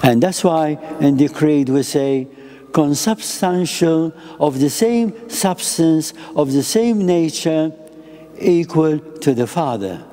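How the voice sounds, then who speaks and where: An elderly priest delivers a sermon from a lectern in a chapel, with slow, deliberate phrasing and a melodic rise and fall. His voice is mellow and somewhat high for a man, and a long church reverb trails behind it. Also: lively intonation. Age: older. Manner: slow, deliberate phrasing in short measured pieces. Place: chapel with an icon and a lectern, indoors. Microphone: lectern microphone.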